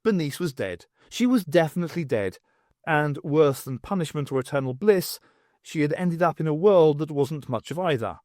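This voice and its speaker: A man reading a story aloud as an audiobook narrator, in an expressive scripted style. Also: a little high, moderate pace, no room sound, clean studio sound.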